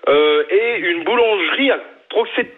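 A man in his fifties from Brittany calling in to a radio show, talking haltingly and restarting his words. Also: sits high, expressive. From Brittany, western France. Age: 50s. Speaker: radio caller. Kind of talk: phone-in call. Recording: tinny phone line.

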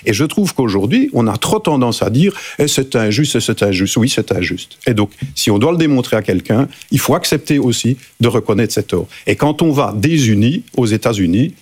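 A man speaking as a panelist in a televised debate, arguing emphatically and pushing his voice up to sharp peaks. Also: medium pitch, big pitch swings, a little fast, few pauses, breathy edge.

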